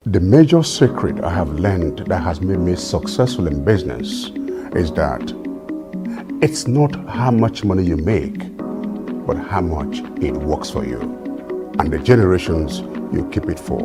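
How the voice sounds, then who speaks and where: Deep-voiced man over loud music. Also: big pitch swings, a little slow. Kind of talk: scripted promotional voice track.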